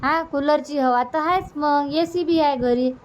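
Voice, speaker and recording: A woman acts out a middle-aged village mother in a cartoon comedy. She has a very high voice and coaxes in homely, colloquial Varhadi Marathi. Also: a little slow. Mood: coaxing.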